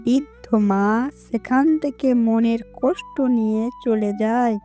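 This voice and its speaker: Slow-paced woman narrating a story. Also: on the high side, fairly even intonation, mellow. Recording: music under the voice.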